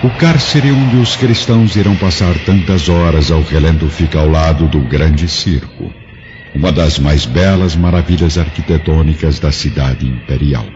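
Very deep-voiced male narrator. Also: moderate pace. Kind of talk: scripted dramatic narration. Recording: dull audio with music behind.

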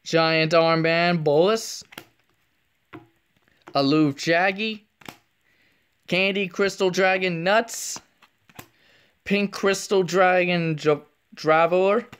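A man reads card names aloud in a soft, almost whispered voice, slow and unhurried. His tone is smooth and clear. He talks casually to his viewers while he opens packs at a table, filmed close on a phone. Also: high-pitched. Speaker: card unboxing youtuber. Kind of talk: casual card pack opening commentary. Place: indoors at a table, hands only on camera.

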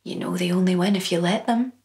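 Woman doing a tough proud scottish mum, quiet clenched-teeth delivery, audiobook style. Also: on the low side, quick pace, creaky. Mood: hushed, steely. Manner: hushed, through clenched teeth. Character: steely, proud mother urging her son on.

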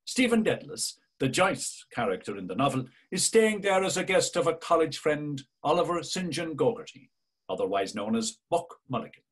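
An older man on a video call giving calm, scripted narration. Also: on the high side, normal speed, breathy edge. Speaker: actor reading aloud. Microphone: webcam on video call.

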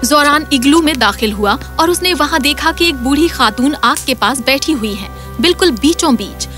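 A woman's voice: high-pitched, lively intonation, fairly bright tone. A woman narrating a scripted children's fairy tale as a storyteller. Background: music.

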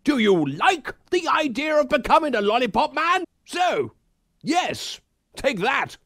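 Man as bossy puppet host, sing-song, creaky, very animated pitch. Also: measured pace, no room sound, studio quality, exaggerated voice. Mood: cheery, bossy. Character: cheery but domineering children's puppet show character.

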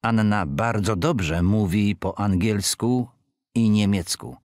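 A man with a lowish voice reads Polish course phrases carefully and clearly, one at a time. The recording is dry and close. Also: takes it slowly, a little mellow, no room sound. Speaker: Polish-side speaker of a language course. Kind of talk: slow scripted phrase reading.

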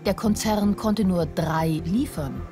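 A woman reading scripted documentary narration in an even, informative way. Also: lowish voice, slow. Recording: voice-over over music.

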